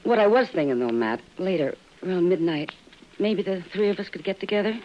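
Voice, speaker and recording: A woman acting a wistful, warm-hearted frontier saloon woman in a 1950s radio western, gentle and hesitant, with an American accent. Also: lowish voice, animated, fairly quick, natural voice. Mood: gentle, hopeful. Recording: muffled old radio recording, some hiss.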